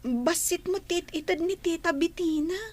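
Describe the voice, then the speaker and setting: Casting: timid, dutiful schoolgirl for radio play; tiny, very high, plaintive voice. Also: normal speed, no echo. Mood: apologetic. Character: meek, put-upon schoolgirl, dutiful and timid. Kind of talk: radio drama acting.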